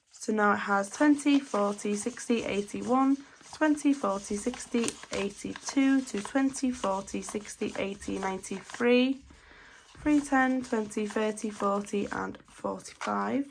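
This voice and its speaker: A woman's voice: medium pitch, vocal fry, a little hiss or hum, poor audio. A woman, chatting casually and counting cash aloud, slightly blocked up from a cold. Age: 20s. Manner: chatty, steady counting of notes. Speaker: budgeting vlogger.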